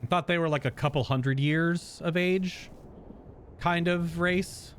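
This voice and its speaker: A man's voice: a little high, fairly even intonation, a little slow, slight background noise. A man giving casual live reaction commentary as a game streamer, rambling and thinking aloud. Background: game music.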